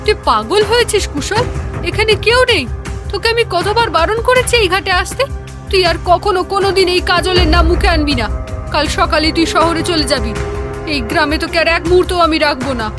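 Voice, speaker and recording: A woman voicing a frail, fearful but stern village mother in an animated horror story, in a worried, dramatic manner. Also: very high-pitched, moderate pace, loud music behind the voice.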